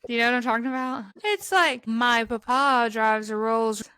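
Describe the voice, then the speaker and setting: A woman's voice: on the high side, moderate pace, pushed and bright, clear, resonant tone, dry recording. A young woman with a Southern accent from Mississippi, co-hosting a podcast in casual chat and acting out the lines she quotes. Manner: chatty storyteller, acts out quotes. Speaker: podcast co-host.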